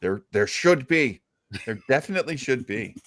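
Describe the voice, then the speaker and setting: A man with an average-pitched voice hosts a live online show. He talks casually and conversationally, and he is effusive when he thanks and praises people. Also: lively intonation, moderate pace, a bit bright. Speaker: host of a live web show about old-time radio and film.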